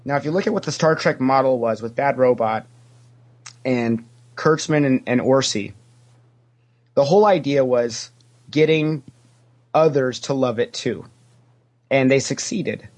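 A man's voice: mid-range voice, a little mellow. A man speaking as a podcast guest in casual fan discussion, opinionated and emphatic, repeating phrases to drive his points home.

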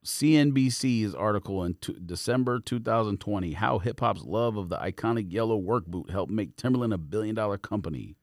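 A man's voice: on the low side, animated, brisk, hardly any pauses, no room sound, clean studio sound. A man co-hosting a podcast, chatting informally with slang and reading bits of articles aloud. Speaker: podcast co-host. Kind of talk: casual chat with bits read aloud from articles.

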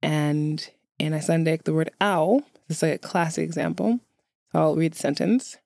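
A woman's voice: sits low, moderate pace, creaky, a little mellow, dry recording, clean studio sound. A woman who is a native English speaker, chatting casually to viewers as a vlogger in a wry, candid, self-deprecating way.

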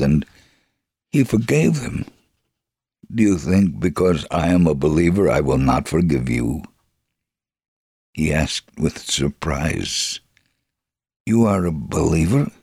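A man reading a first-person testimony as scripted narration, measured and gravelly, acting out the dialogue as he goes. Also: very deep voice, darkish tone, dry recording, studio quality. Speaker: story reader.

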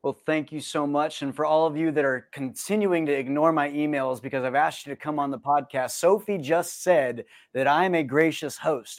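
Man, from the United States, podcast host, upbeat, joking, slightly high voice. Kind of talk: casual podcast chat and read outro.

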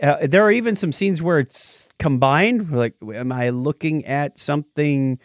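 A man co-hosting a podcast, chatting casually and recounting things in a rambling, animated way. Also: on the high side, unhurried, dry recording, studio quality. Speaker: podcast co-host. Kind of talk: casual podcast chat, recounting a nature documentary.

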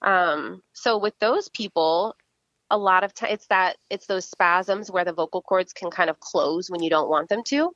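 A woman speech-language pathologist talks through voice exercises in an easy, explanatory way, with plenty of fillers. Her voice sits a little low. The recording is dry and slightly muffled. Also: a little slow, dry recording. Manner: explanatory, steady, many fillers. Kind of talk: casual interview answers.

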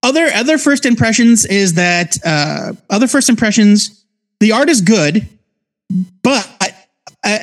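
A man with a notably high voice co-hosts a casual review podcast. He explains things in detail, in an easy conversational way with a geeky bent. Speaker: co-host of a comics review podcast.

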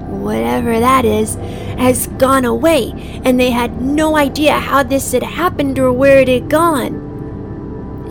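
A woman's voice: fairly high pitch, gravelly, low-quality recording. A woman playing an earnest, innocent seven-year-old boy in an audio drama. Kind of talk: audio drama acting and first-person narration.

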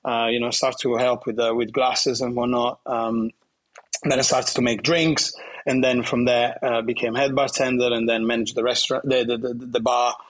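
Italian-accented man with a husky voice. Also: medium pitch, little pitch movement, poor audio. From southern Italy. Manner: halting, many restarts and fillers, laughs often. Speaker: guest bartender. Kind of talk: casual interview chat.

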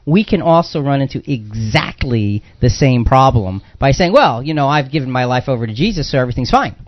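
A man's voice: mid-range voice, expressive, fairly quick, no echo. A man hosting a conversational Bible discussion on the radio, emphatic and circling back over phrases as he builds a point. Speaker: radio show host. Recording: slightly dull, band-limited radio sound.

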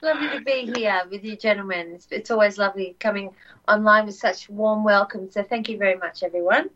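A woman co-hosting a podcast, English as her second language, telling a story in a soft voice with many ums and repeated words. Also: mid-range voice, fairly quick, a little hiss or hum. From not said; lives in Australia. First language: not English. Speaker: co-host. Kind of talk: casual podcast chat, storytelling. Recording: dull lo-fi audio.

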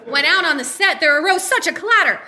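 A woman's voice: very high voice, animated, a little fast, bright, forceful tone. A woman performing a comic parody poem live with theatrical storybook delivery. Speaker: live variety show performer. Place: live event at a comic book shop.

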